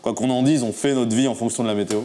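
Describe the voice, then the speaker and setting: A man hosts a casual interview at a quick clip. His voice is dark and mellow. He rambles and jumps in to finish his guest's thoughts. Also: medium pitch, fairly even intonation. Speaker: interview host.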